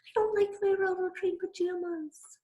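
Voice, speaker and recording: A woman reading a picture book aloud to children in an animated, expressive bedtime-story style with dramatic emphasis. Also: extremely high pitch, normal speed. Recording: echoey room.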